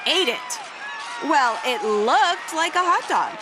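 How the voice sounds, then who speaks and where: A woman reading a children's picture book aloud, with expressive, playful and dramatic emphasis on the words. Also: extremely high pitch, slow pace, crowd noise, noisy background. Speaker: read-aloud storyteller.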